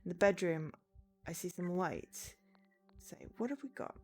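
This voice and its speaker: Lively, lower-pitched woman game streamer. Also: unhurried, breathy edge, faint music behind. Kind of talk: live stream chat and reading game text aloud.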